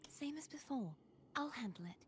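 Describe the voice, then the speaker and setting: Casting: gentle young female game companion, dutiful, soft and breathy, rather high pitch, noisy game backdrop. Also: expressive, normal speed. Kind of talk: video game voice acting, short lines. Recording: quiet game audio with noisy background.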